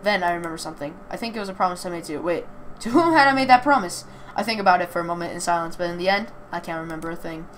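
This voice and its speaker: A woman's voice: on the low side, racing, few pauses. A woman reading a story aloud with casual asides, stumbling over words and correcting herself. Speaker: reader of a visual novel playthrough. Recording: clear background noise.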